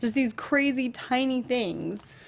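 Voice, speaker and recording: A woman's voice: fairly high pitch, expressive, slow, vocal fry. Informal, agreeable woman co-host. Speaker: talk show co-host. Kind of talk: casual review chat.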